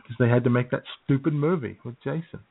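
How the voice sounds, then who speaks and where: A man's voice: medium pitch, gravelly, breathy edge. A man co-hosting a radio show in casual, comedic chat, telling stories in a jokey, self-deprecating way. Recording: tinny phone-like sound.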